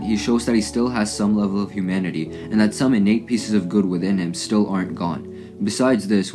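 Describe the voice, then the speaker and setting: A man narrating a scripted, analytical recap as a video essayist. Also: sits low.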